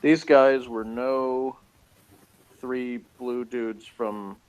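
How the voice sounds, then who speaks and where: A man's voice: medium pitch, steady tone, drawn-out words, smooth, low-quality recording. A man chatting informally as co-host of a review, tossing in loose asides. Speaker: co-host of a sci-fi TV episode review. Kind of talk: casual chat and commentary.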